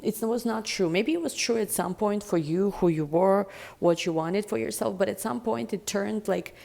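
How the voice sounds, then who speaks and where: A woman giving a casual tarot reading in a deep, chesty voice, rambling and reflective with many fillers. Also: normal speed, creaky, dark tone. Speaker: tarot reader.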